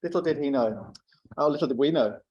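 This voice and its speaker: A man hosting a meeting from a theatre stage, brisk and jokey as he moves through the agenda. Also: fairly high pitch, a little mellow, slightly rough, lo-fi sound. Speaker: meeting host. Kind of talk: compering a meeting.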